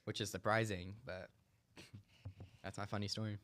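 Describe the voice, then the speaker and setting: A man's voice: lowish voice, little pitch movement, moderate pace, fairly bright tone. A young man hosting a casual comedy chat, laughing often and rambling with many fillers. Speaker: host.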